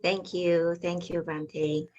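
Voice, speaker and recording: A woman with a notably low voice introduces herself to her class. She pauses often and fills gaps with ums and uhs. It sounds like a video call. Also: level tone, a little mellow, highs cut off. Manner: hesitant, many fillers. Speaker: student.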